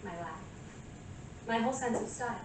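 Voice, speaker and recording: An actress plays a vain, deluded woman convinced of her own irresistible allure. She speaks in a deliberate, self-adoring stage manner with pauses. The sound is distant and very reverberant, with clear background noise. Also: mid-range voice, lively intonation, natural voice. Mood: smug, seductive. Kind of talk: theatrical stage monologue. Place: small live stage venue with audience. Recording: echoey room from far off, noisy.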